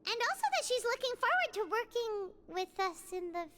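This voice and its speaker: A woman voicing a small, childlike fairy companion in a video game, excitable and greedy, with a squeaky, perky delivery. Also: brisk, slight background noise, full character voice. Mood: chatty. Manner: perky, speaks of self in third person. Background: background music.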